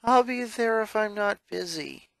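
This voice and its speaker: A man's voice: high voice, measured pace, creaky, a bit bright, dry recording, voice pushed up, exaggerated voice. A man voicing a put-upon young boy in a cartoon fan story, grumbling and reluctant. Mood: weary.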